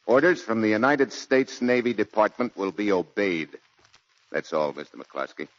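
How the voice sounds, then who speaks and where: An unbending military officer in a radio drama. The voice is low with strongly varied pitch, clipped and full of authority. The vintage recording is muffled. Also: male voice, very animated, moderate pace, slight background noise. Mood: stern, commanding. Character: stern, unbending male military commanding officer. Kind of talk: 1940s radio drama acting.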